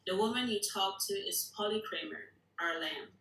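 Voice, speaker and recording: A woman's voice: medium pitch, moderate pace, a bit bright, close to an everyday voice. A woman acting a tough, blunt secret-agency recruit at a screenplay table read. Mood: explaining. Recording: echoey room.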